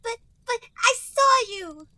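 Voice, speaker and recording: A woman voicing an earnest cartoon schoolgirl in an exaggerated squeaky voice. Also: lively intonation, slow pace, pushed and bright, no echo, heavily acted, low-quality recording. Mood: nervous, accusing. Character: Amy, earnest high-pitched cartoon schoolgirl character. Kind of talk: acted fan-fiction dialogue reading.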